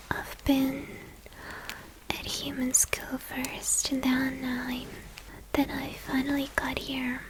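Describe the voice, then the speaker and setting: A woman voicing a shy, awkward young vampire student in a whispered ASMR role play, halting and confiding. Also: high voice, background noise. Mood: curious, confiding.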